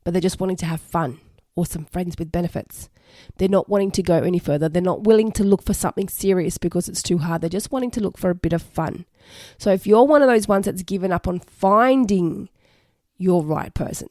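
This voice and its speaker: A woman hosting a solo advice podcast, her voice a little croaky from recent illness, earnest and reassuring as she repeats her key points. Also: lowish voice, warm tone, no room sound, clean studio sound. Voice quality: slightly hoarse. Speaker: podcast host.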